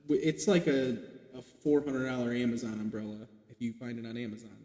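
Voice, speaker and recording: A man's voice: mid-range voice, a little fast, warm tone. A man in his late twenties chatting casually on a podcast, rambling with lots of "like" fillers and casual swearing. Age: 20s. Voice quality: self-described light boyish voice. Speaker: podcast guest. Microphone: podcast mic. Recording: echoey room with long reverb.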